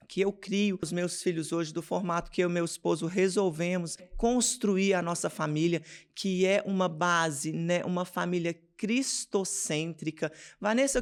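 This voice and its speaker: A woman from Minas Gerais, Brazil, hosts a devotional podcast from her home studio. Her voice is smooth and resonant. She talks to her listeners as if they were close friends, and she leads them in prayer. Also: fairly low pitch. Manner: chatty, addresses listeners directly as girls and friends.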